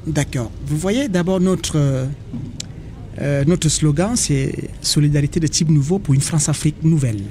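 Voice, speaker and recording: A man from Cameroon, an association representative, speaking earnestly and haltingly in a radio interview at an association fair. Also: fairly high pitch, mellow, a little hiss or hum. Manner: hesitant, earnest, many pauses.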